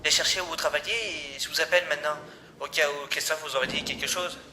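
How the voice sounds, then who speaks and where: Anxious niece on the phone played by a man; hesitant, polite, slightly high. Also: steady tone, quick pace, background noise, lightly acted. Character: worried young woman searching for her uncle.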